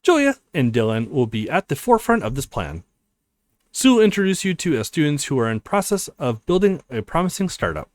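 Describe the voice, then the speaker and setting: An earnest young college man leading a scheme, voiced by a male let's player. His visual novel lines are explanatory, with a fairly high pitch that moves around a great deal. The sound is dry. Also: big pitch swings, fairly quick, dry recording. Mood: earnest, planning.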